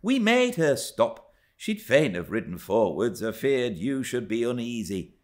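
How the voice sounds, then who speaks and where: A man's voice: mid-range voice, very animated, measured pace, voice pushed up. A man voicing a kindly rustic woman servant, speaking reassuringly in homely dialect. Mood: gentle pleading.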